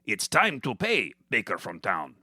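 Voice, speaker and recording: A man's voice: fairly low pitch, huge pitch swings, unhurried, pushed and bright, dry recording, clean studio sound, heavily acted. A man voicing a menacing, taunting wizard villain in a comic story.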